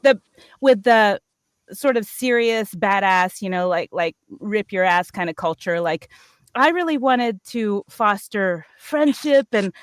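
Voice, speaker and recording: A woman's voice: mid-range voice, expressive, a little slow, clear, resonant tone, no echo. A middle-aged American woman telling personal stories casually, in a playful, chatty way that often interrupts itself. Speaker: voice actor on a cast panel.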